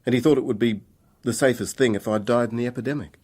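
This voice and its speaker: A man's voice: medium pitch, fairly even intonation, fast talker, a little mellow, close to an everyday voice. An actor in a radio drama playing a troubled young man on the run, speaking in a hesitant, confessional way. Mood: explanatory, candid.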